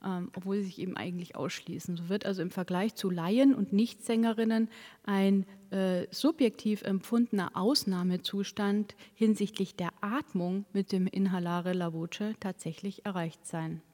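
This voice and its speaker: A woman delivers a formal academic lecture at a mid-range pitch, reading carefully composed sentences in a measured way. She sometimes stops to correct a word before moving on. Her voice carries a long reverb, as if from a large lecture hall. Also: fairly even intonation, darkish tone. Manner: measured, academic phrasing with occasional self-corrections.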